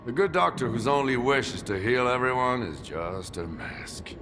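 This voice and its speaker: An actor voicing a hardened veteran male stalker in a video game, speaking bluntly and gravely. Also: mid-range voice, lively intonation, moderate pace, over music, lightly acted. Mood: grim. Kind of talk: video game cutscene acting.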